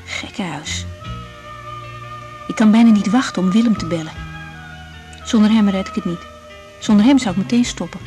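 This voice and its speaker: Actress as tired young woman doctor, radio drama, dark warm tone, kinda intimate and overwhelmed. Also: medium pitch, normal speed, long pauses, faint music behind, close to an everyday voice. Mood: drained, upset. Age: young adult. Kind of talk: naturalistic radio drama, diary-like monologue and dialogue.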